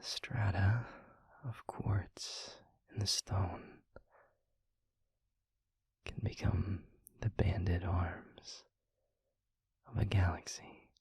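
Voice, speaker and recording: A low, breathy whisper from a tender male demon lover as a man acts him out. He is devoted and a little anxious, with gentle, eloquent phrasing that unfolds very slowly in an intimate audio roleplay. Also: fairly even intonation, no echo, heavily acted. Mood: dreamy, tender. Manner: slow, gentle, formal poetic phrasing. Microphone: very close microphone, dry.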